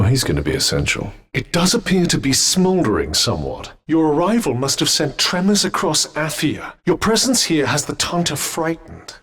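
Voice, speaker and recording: A snooty sentient magic armband from a video game, droll n sardonic, pompous, voice swoops up and down a lot. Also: male voice, on the high side, fairly bright tone, long reverb tail. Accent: British. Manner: pompous, droll, erudite wording. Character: talking magical vambrace, male, witty, haughty, sardonic companion. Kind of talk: video game character dialogue.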